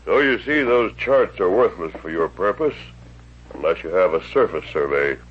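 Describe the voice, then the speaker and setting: An actor playing a steady Western hero posing as a surveyor in a 1950s radio drama. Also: male voice, low-pitched, moderate pace, slightly rough. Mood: firm, persuasive. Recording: dull, faintly humming old radio recording.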